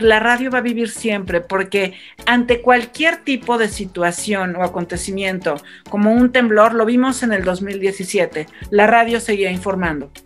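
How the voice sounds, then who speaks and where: A woman's voice: medium pitch, a bit bright. Effusive Mexican woman with music behind. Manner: effusive, emphatic. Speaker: radio broadcaster, panel guest. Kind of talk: conversational panel talk.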